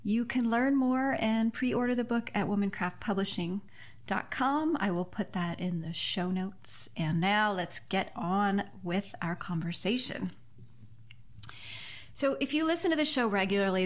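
A woman hosting a podcast in a conversational style, speaking earnestly and effusively. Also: mid-range voice. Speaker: podcast host and author.

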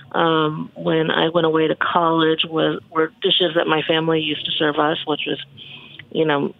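A chef from Michigan talking casually as an interview guest, relaxed and rambling, with many ums and restarts. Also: mid-range voice, gravelly, no room sound. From Michigan, USA. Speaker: chef and cookbook author, guest. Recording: tinny phone line, dry.

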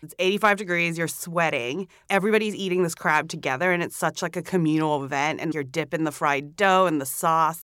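A woman's voice: low voice, a little fast, smooth, no room sound, clean studio sound. A young woman from Hong Kong, a podcast guest chatting casually with lots of "like" fillers. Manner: relaxed, chatty, many "like" fillers. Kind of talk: casual interview chat. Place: recording studio.

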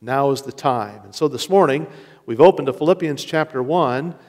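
A man preaching a sermon as pastor, earnest, slowing down to stress key words. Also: mid-range voice, a little mellow. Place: church sanctuary. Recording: long reverb of a large hall.

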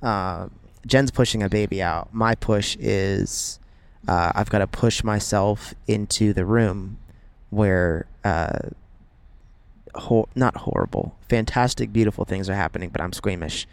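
A young man with heavy vocal fry and a slightly low voice banters as a podcast co-host. He interjects with questions and jokes in loose, slangy everyday speech. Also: measured pace.

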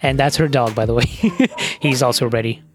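A man's voice: mid-range voice, moderate pace. A man hosting a podcast, chatting casually and explaining things with asides and fillers. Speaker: podcast host.

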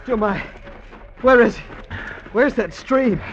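A man's voice: very high-pitched, takes it slowly. An actor playing a frightened, despairing male convict in a radio drama, speaking in an agitated, pleading way. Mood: panicked. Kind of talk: 1940s-50s radio drama acting. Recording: dull, noisy old radio recording.

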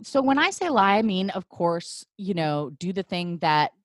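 A woman's voice: fairly low pitch, sing-song, normal speed, no room sound, studio quality. A woman hosting a casual chat in a thoughtful, wry way with many restarts, over a video call.

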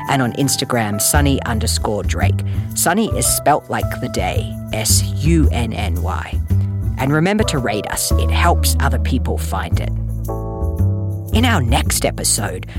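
A podcast host reading scripted credits and announcements in a friendly manner, addressing listeners directly. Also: mid-range voice. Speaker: podcast host and series creator. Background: music.

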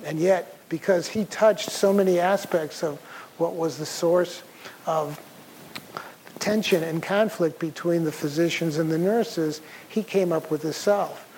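Soft-voiced older man giving a talk. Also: fairly high pitch, fairly even intonation, a little slow, a little hiss or hum, low-quality recording. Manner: conversational, many fillers and throat clearing. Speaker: speaker. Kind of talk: conference talk.

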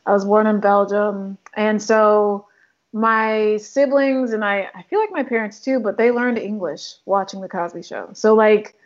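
A woman's voice: mid-range voice, unhurried, dull audio. A woman from Congo, a photographer talking casually in an interview, with frequent likes and ums. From Democratic Republic of Congo. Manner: chatty, lots of likes and ums. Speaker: photographer and filmmaker guest.

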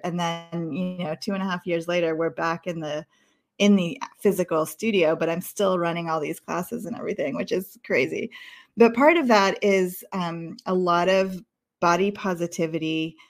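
Woman, lowish register, informal and chatty, self-deprecating, casual conversational delivery. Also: steady tone, normal speed. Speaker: dance studio helper in conversation. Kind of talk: casual chat.

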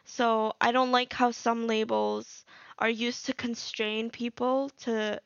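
Young Egyptian woman, gravelly, dull sound. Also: on the high side, level tone, slow, no echo. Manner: earnest, talks in long run-on thoughts with many "like"s. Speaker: guest. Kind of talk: casual podcast chat.

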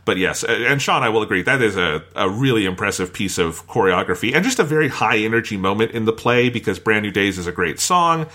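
A man's voice: fairly low pitch, animated, fast, hardly any pauses, pushed and bright. A man co-hosting a podcast in casual review discussion, eager and agreeable as he talks. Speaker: podcast co-host.

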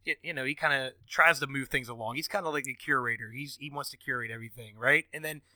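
A man's voice: mid-range voice, quick pace, bright, forceful tone, dry recording. A man co-hosting a podcast, teasing and rambling in casual banter. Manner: rambling, teasing, lots of fillers and restarts. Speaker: podcast co-host.

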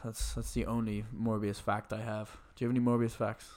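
A man's voice: lowish voice, little pitch movement, fairly quick, darkish tone. Stuffy-nosed man grumbling. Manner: blunt, sweary, grumbling. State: stuffy nose from a cold. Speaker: podcast co-host. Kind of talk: casual movie review chat.

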